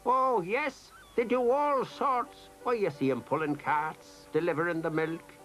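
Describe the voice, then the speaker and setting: A man's voice: sits high, fairly even intonation, unhurried, a bit bright, faint music behind. An actor playing a kindly, teasing old Irishman with an Irish accent who keeps a donkey and spins tall tales for children, in a children's drama. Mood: storytelling. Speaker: storyteller character.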